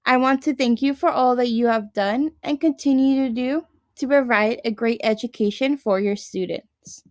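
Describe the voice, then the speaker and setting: A woman's voice: fairly high pitch, normal speed, darkish tone. A young adult woman, a first grade teacher, reading a picture book aloud to her class and talking to her students.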